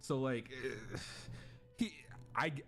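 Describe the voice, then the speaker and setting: A man's voice: mid-range voice, slow. A man casually chatting as he reacts to videos on a stream, rambling with lots of fillers and restarts.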